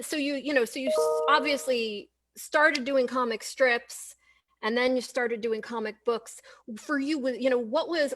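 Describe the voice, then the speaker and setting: A woman hosting a casual live-stream interview, informal and a bit hesitant, often restarting her sentences. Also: a little high. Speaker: interview host.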